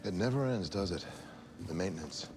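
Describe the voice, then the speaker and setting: A man's voice: sits low, animated, fairly quick. An actor playing a stern, doubting father who is his son's inner conscience, grave and cautionary in a TV drama. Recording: TV drama playback with music.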